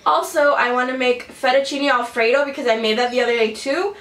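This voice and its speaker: A woman's voice: high-pitched, fairly even intonation, a little fast, a bit bright. A young woman in her twenties chatting casually about makeup as a beauty vlogger, rambling with lots of fillers and sounding a bit congested from a cold. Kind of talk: casual chat to camera. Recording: roomy echo, mic a bit distant.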